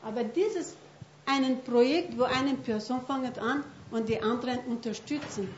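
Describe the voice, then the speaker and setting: A woman's voice: fairly high pitch, moderate pace, dull audio, slight background noise. Insistent woman in an echoey hall. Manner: broken German, insistent. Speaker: audience member. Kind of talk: comment from the audience.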